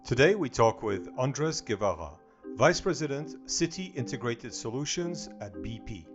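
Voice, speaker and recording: A man's voice: mid-range voice, big pitch swings, moderate pace, lo-fi sound. Formal podcast host man, faint music. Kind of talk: scripted intro and interview questions.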